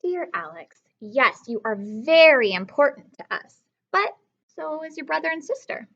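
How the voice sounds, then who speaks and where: Casting: woman as wry, patient storybook dad, measured and firm, with a bright, unhurried delivery. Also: high-pitched, very animated, muffled recording, full character voice. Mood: conciliatory. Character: patient, wry father answering his young son. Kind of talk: storybook read-aloud.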